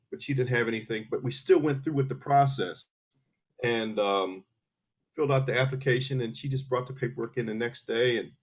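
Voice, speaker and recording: A middle-aged man from Philadelphia, an army veteran guest in an interview, talking earnestly and explaining things step by step with many restarts. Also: medium pitch. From Philadelphia, USA. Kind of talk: casual interview conversation.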